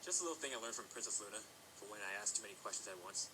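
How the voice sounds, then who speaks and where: A man's voice: fairly low pitch, animated, racing, bright, forceful tone, low-quality recording. An actor playing a guarded, dry-witted male pony in a fantasy audio drama, speaking tersely.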